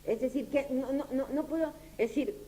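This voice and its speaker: A woman lecturing informally, often repeating herself and checking that her listeners follow. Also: warm tone. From Latin America. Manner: halting, repetitive, checks that listeners understand. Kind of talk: informal lecture with audience questions. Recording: clear background noise, low hum.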